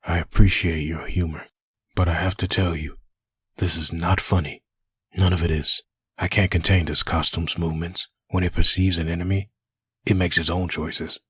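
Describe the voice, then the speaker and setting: Male actor as troubled cursed antihero, deep, heavily rasped, brooding, dry close recording, comic dub. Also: flat delivery, normal speed, fairly bright tone, no echo, heavily acted. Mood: anguished, defensive. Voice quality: raspy, gravelly husk. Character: brooding, troubled man cursed with a living costume.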